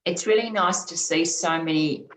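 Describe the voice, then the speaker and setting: A woman giving an academic conference talk over a video call, her voice scratchy late at night, speaking in a measured way with frequent ums and restarts. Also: fairly low pitch, a bit bright. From Australia. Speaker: conference presenter.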